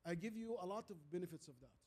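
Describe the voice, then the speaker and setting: A man with an Arabic accent speaks as the guest in a fireside chat. His voice is soft-edged and mellow, sitting a little high for a man. He tells anecdotes haltingly, repeating words and landing on short, emphatic phrases. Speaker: guest speaker, transformation leader.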